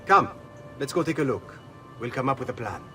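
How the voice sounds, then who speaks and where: Guy doing an idealistic italian thief boss, medium pitch, earnest and passionate, game cutscene. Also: expressive, brisk, music in the background, lightly acted. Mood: earnest, concerned. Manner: earnest, rhetorical. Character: earnest, idealistic male Venetian thief leader, passionate and brotherly. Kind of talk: dramatic video game acting.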